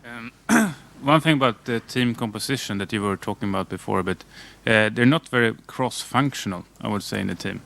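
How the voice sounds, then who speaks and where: A man speaking up in a seminar discussion, in a low-key manner. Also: animated, brisk, gravelly, a bit bright. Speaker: seminar participant.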